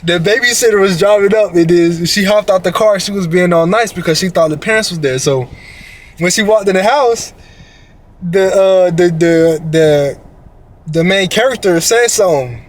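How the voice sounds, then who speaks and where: A man's voice: high-pitched, normal speed. A man co-hosting a casual reaction commentary, slangy and rambling, talking straight to his audience. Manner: slangy, rambling storyteller who addresses the audience. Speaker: commentary co-host.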